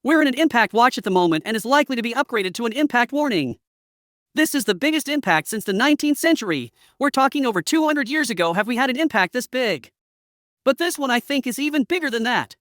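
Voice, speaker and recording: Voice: mid-range voice, expressive, quick pace, a bit bright, no room sound, clean studio sound. A presenter improvising dramatic mock breaking-news commentary for a fictional emergency scenario.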